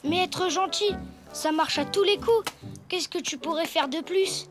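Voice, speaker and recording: Very high cheeky cartoon boy. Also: unhurried, faint music behind. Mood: confident. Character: cheeky young cartoon animal boy, self-assured little kid. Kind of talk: French animated series dubbing.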